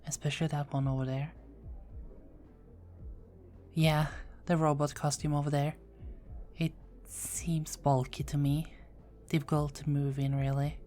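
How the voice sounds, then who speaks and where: A woman playing a low, whispering teasing vampire. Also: flat delivery, unhurried, pauses often, faint music behind. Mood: friendly. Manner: soft-spoken, often whispering, playful teasing. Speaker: performer of own script. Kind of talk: ASMR roleplay.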